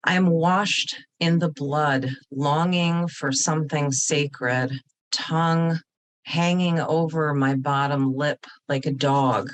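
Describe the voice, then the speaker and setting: A woman poet introducing and reading her own work in a deliberate, solemn manner. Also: deep voice, steady tone. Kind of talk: poetry reading.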